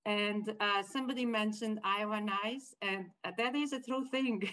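Middle-aged Croatian woman, Croatian native, measured and hesitant panel guest on a video call, mid pitch. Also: a bit bright. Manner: measured, hesitant with many fillers, light laughs. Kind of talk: personal migration story on a video call.

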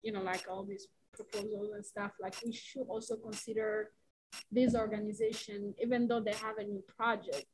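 A woman commissioner with a loud voice, speaking casually with many fillers in a meeting. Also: medium pitch, lively intonation, moderate pace, a little hiss or hum, low-quality recording. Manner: casual, lots of "like".

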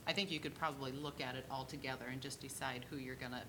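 Quiet woman staffer over a noisy line. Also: rumbling low, steady tone, fast talker. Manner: quiet, explanatory. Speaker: city staff member.

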